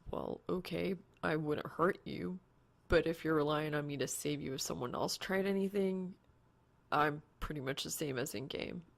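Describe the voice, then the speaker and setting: A woman's voice: sits low, normal speed, gravelly. A woman voicing an awkward, sardonic young man gamer in an audiobook, dry and self-deprecating. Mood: dry, guarded. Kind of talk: audiobook character dialogue.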